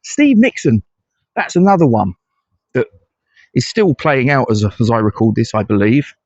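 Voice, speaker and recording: A man's voice: medium pitch, sing-song, normal speed, a little mellow, no echo. A middle-aged English man talks bluntly through his views in solo fan commentary, often stopping to restart a thought. The recording is dry with no room sound, and it comes across slightly dull and lo-fi. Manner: blunt, conversational, hesitant restarts. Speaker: fan channel host.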